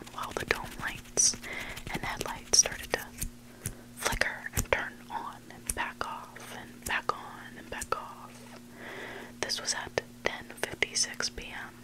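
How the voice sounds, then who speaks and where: An ASMR host whispering scary stories in a hushed, unvoiced voice, close to the microphone. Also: a little slow, poor audio. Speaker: ASMR host reading listener-submitted stories. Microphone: close microphone made for headphone listening.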